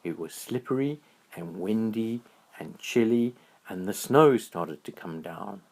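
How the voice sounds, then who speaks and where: A man narrating a children's bedtime story in a dramatic, drawn-out storytelling manner. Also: on the low side, lively intonation, a little mellow, rough recording.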